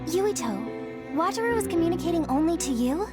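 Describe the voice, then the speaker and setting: Anxious young game teammate, high female voice over music. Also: sing-song, moderate pace. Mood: worried. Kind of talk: video game cutscene voice acting.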